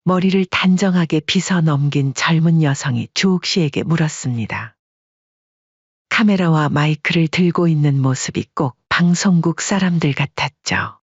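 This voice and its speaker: A woman narrating a scripted audio story in a calm, measured way. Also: deep voice, husky, dry recording, muffled recording.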